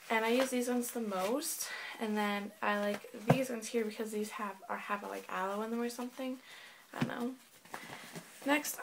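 A woman's voice: medium pitch, background noise. A teenage girl casually showing off her things in a rambling webcam vlog from her bedroom. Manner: chatty, rambling with fillers. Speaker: vlogger. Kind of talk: casual show-and-tell vlog. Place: small bedroom indoors. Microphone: built-in webcam mic.

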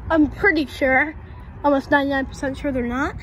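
Clear street noise surrounds a woman recording outdoors on a handheld phone. Her voice is high. She hosts a casual walking vlog, rambling and thinking out loud as she goes. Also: steady tone, fairly quick. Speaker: host of an abandoned retail vlog. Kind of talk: casual walk-around vlog commentary. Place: outdoors on a shopping street sidewalk.

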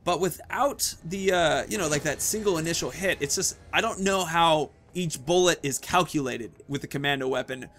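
A man's voice: fairly high pitch, moderate pace, few pauses, a bit bright, a little hiss or hum. A man in his thirties giving casual, chatty let's-play commentary, thinking aloud as he plays. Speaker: gaming video host. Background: video game sounds.

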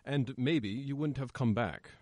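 A man playing a calm, considerate police inspector in an old-time radio play, measured and reassuring as he explains. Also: mid-range voice, dry recording, close to an everyday voice. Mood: reassuring, solemn.

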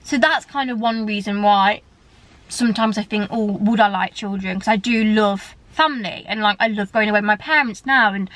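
A woman's voice: medium pitch, normal speed, fairly bright tone, dry recording, poor audio. A woman, chatting candidly to her viewers from a parked car. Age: 30s. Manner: chatty and candid, gesturing with both hands. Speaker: vlogger. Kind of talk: casual chat to camera.

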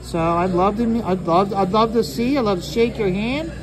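High-pitched man chatting casually over music. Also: rough recording. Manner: hesitant, halting, repeats phrases. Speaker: artist hosting a drawing livestream or video. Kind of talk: casual rambling talk while drawing.

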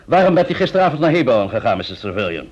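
Animated, stern police chief. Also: male voice, mid-range voice, rapid-fire, husky. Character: stern, formal senior police chief interrogator. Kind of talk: vintage Dutch radio play acting. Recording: dull vintage radio drama recording.

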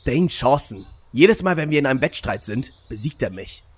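A man dubbing an excitable, bickering young adventurer in a comedy, with a raspy, exclamatory voice. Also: medium pitch, normal speed. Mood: grumbling. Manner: exclamatory, argumentative. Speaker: voice actor in a fan dub. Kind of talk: exaggerated comedy fan dub.

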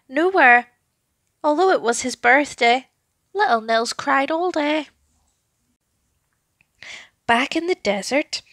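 A woman narrating a children's story with an expressive, deliberate, dramatic delivery. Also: high voice, no echo, clean studio sound.

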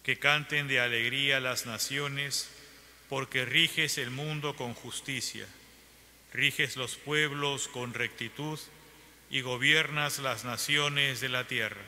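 A man reading scripture aloud at Mass as lector, in a measured, solemn way. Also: medium pitch, steady tone, sharp tone. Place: large church. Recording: church broadcast with long reverb.

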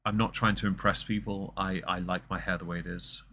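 A man in his thirties with a deep voice, mocking a video in sarcastic commentary as a stream host. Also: little pitch movement, brisk, pushed and bright, a little hiss or hum. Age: 30s.

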